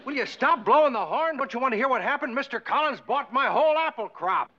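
An actor playing an earnest, easily flustered farmer husband who explains things with patient exasperation, in 1960s sitcom style. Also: male voice, very high voice, expressive, fast talker, bright, forceful tone, heavily acted. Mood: exasperated. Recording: dull lo-fi 1960s TV soundtrack.

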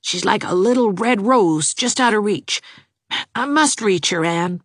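A woman's voice: mid-range voice, dry recording, studio quality, pitched above the performer's own voice, lightly acted. A woman voicing a lovesick, gloomy young man. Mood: fretful. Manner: earnest, fretful, fast-talking. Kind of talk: audiobook character voice.

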